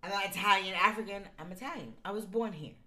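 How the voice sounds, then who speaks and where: An American woman hosting a podcast, telling stories in an emphatic, animated way in casual group chat. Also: medium pitch, fast. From United States. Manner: emphatic storyteller, animated, laughs often. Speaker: podcast host.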